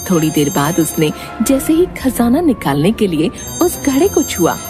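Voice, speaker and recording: A woman narrating a scripted story in an expressive storytelling manner. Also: mid-range voice, moderate pace, music in the background.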